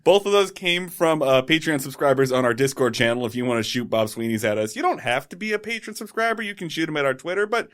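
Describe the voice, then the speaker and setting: A man's voice: a little high, quick pace, hardly any pauses, a bit bright. A man co-hosting a podcast in casual chat, joking and rambling as he sets up the episode. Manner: joking, rambling, bursts of silly singing. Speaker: podcast co-host.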